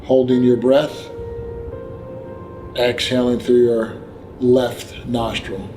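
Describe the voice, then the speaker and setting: Male meditation guide, medium pitch, gentle and very slow scripted delivery with pauses, over background music. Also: little pitch movement. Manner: gentle, unhurried instruction with long pauses.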